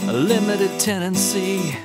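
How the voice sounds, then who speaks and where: A woman singing a slow, plaintive song. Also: medium pitch. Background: guitar music.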